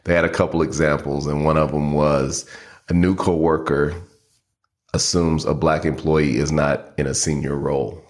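A man with a very low voice co-hosting a podcast in easygoing conversation. He tells anecdotes, often restarting his sentences as he goes. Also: little pitch movement, moderate pace. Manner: storytelling, frequent restarts and fillers. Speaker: podcast co-host. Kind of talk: casual chat.

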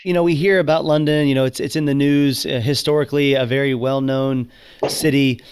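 A man's voice: mid-range voice, steady tone, fairly quick, darkish tone, smooth. A man hosting a podcast, introducing his guest and asking questions with frequent restarts. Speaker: podcast host. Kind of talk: podcast interview.

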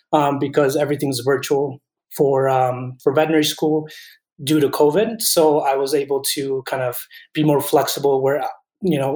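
A man's voice: a little high, a little mellow, roomy sound. A man in his twenties from Colombia, a veterinary student answering interview questions in an earnest, thoughtful way with frequent restarts.

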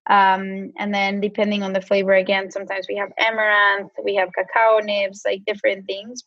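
A woman's voice: medium pitch, fairly bright tone, dry recording, highs cut off. A woman with a Mexican accent, a guest on a podcast, talking casually and filling her speech with "like". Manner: chatty, many "like" fillers. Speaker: guest, brand co-founder.

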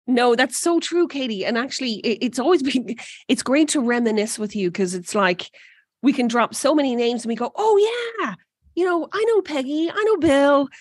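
A woman's voice: sits high, expressive, moderate pace, no room sound, clean studio sound. A woman in her forties with an Irish accent, the guest in an interview, talking with enthusiasm and often restarting her sentences. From Ireland. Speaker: energy company president, guest. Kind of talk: interview conversation.

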